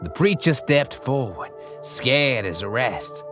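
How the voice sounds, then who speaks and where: A man's voice: medium pitch, very animated, slow. A man narrating a western horror story in the first person, in folksy frontier talk full of crude slang, over background music. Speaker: audiobook narrator.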